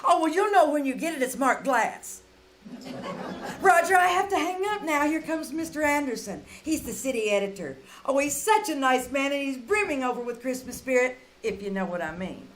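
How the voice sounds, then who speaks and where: A woman's voice: high-pitched, lively intonation, moderate pace, slight background noise. A woman playing a chatty, scatterbrained wisecracking lady reporter in a theatrical 1940s radio comedy style. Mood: chatty, breezy. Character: chatty, dizzy wisecracking newspaper reporter and movie star woman.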